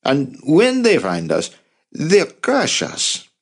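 A man reading an audiobook plays an indignant resistance commander. He is skeptical and incredulous, forcefully throwing out objections. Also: low-pitched, sing-song, a little fast, breathy edge, heavily acted. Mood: alarmed, angry.

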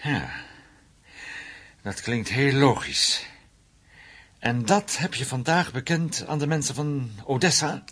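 Radio play investigator: husky man with lively intonation, shrewd, sceptical, measured and dry. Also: medium pitch, heavily acted. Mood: sceptical, probing. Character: shrewd, sceptical male intelligence officer, probing and measured. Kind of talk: radio drama acting.

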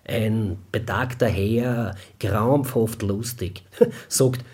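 Heiserer, lässiger österreichischer Musiker. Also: male voice, fairly low pitch, extremely slow, darkish tone, husky, exaggerated voice. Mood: flippant. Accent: Austrian. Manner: drawling, flippant, oddly stilted phrasing. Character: cocky, slangy tattooed male band musician. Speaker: actor in a radio crime drama. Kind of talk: colloquial radio play dialogue.

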